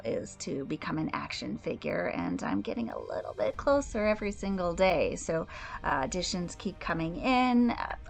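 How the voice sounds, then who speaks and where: Woman, lowish pitch, vocal fry, casual chatty testimonial. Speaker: voice actor.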